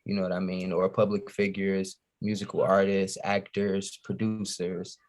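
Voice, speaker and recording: A man's voice: sits low, level tone, moderate pace. A man from Chicago with an American accent chatting casually and slangily as a podcast guest. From Chicago, USA. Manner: slangy, keeps giving shout-outs.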